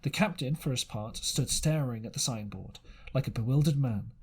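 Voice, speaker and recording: A man's voice: medium pitch, slightly rough. A man reading an adventure novel aloud as an amateur narrator, stumbling now and then and correcting himself. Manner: halting reading with misreadings and corrections. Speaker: amateur audiobook reader.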